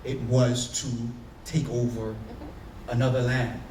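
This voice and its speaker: A man's voice: medium pitch, level tone, takes it slowly, noisy background. A man from Haiti with a Haitian accent, speaking as a panelist, earnest and searching, with many fillers and restarts. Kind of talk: reflective personal talk on a panel. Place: conference room. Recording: echoey room, voice from far off.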